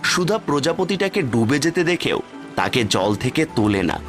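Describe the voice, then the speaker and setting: Guy reading a cartoon story, steady plain voice, soft music behind. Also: a little high, normal speed. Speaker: narrator. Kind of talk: scripted storybook narration. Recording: faint background music. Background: faint music.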